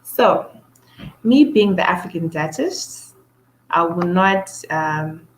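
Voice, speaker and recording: A woman's voice: fairly low pitch, animated, slow, slight background noise, rough recording. A woman from Zimbabwe hosting her own show, talking in a hesitant monologue full of "um" fillers and restarts. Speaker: show host. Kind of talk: casual monologue to camera.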